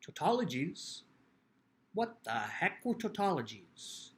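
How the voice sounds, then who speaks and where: A man's voice: a little high, very animated, slow, fairly bright tone, full character voice. A man playing an earnest, lonely teenage boy who tells his own story in plainspoken, cheeky words. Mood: flustered. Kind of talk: first-person dramatic book reading.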